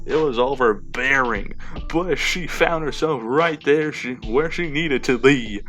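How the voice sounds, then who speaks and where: A man's voice: medium pitch, measured pace, a bit bright, faint music behind. A man narrating fiction aloud in an amateur style, now and then stumbling and repeating a phrase.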